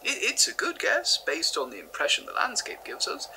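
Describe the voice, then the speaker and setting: A man's voice: high-pitched, huge pitch swings, moderate pace, background noise, full character voice. An actor playing an eager male archaeology professor, hesitant and stammering. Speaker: historian.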